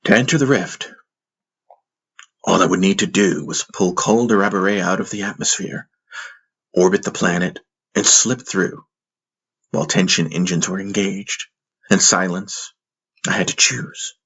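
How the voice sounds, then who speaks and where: A man narrating a fantasy audiobook in the first person, with solemn, dramatic storytelling and a husky voice. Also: low-pitched, steady tone, measured pace, long pauses, fairly bright tone.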